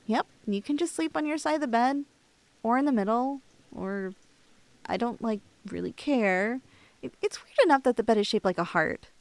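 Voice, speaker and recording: Young woman doing a shy lovestruck cosplay girl, highish voice, slangy and bashful, asmr roleplay right up on a dry mic. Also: no room sound, natural voice. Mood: teasing, casual. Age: young adult. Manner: girlish slangy speech, halting and bashful. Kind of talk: intimate ASMR roleplay acting. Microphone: close, dry studio-style microphone.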